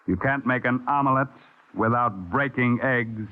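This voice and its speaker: An actor playing a ruthless, calculating ringleader of saboteurs in old radio style, commanding and deliberate. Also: male voice, mid-range voice, close to an everyday voice. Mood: cold, scheming. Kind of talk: 1950s radio acting. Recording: tinny old radio broadcast.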